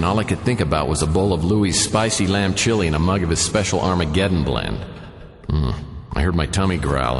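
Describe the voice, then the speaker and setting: An actor playing a wry, world-weary private detective, narrating his own mishaps in a deadpan, self-mocking noir radio play style. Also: sits low. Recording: long reverb, music behind. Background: music.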